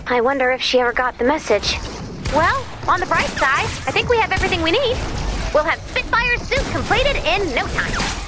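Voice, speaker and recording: A woman's voice: extremely high pitch, sing-song, moderate pace. A woman playing an enthusiastic, quippy, teasing female engineer who guides missions in a video game. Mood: playful, coaxing. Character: peppy, geeky female engineer guiding a mission. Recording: game music behind the voice.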